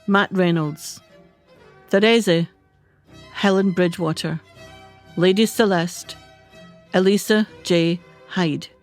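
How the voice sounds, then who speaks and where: A woman announcer reads a scripted list of credits. Her voice is fairly low and slow-paced, with violin music playing behind it.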